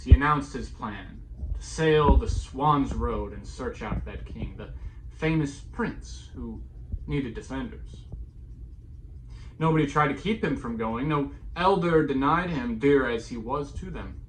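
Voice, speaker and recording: A man narrating epic verse as a dramatic storyteller, solemn and theatrical, with deliberate pauses and swells. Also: mid-range voice, fairly bright tone. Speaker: storyteller performing an epic poem. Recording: clearly noisy recording, background ambience.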